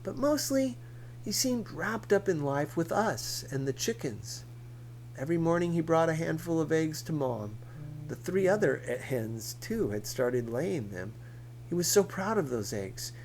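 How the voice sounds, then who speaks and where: A man's voice: a little high, expressive, measured pace, darkish tone, slight background noise, low-quality recording. A man reading a first-person novel aloud as scripted narration. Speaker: audiobook reader.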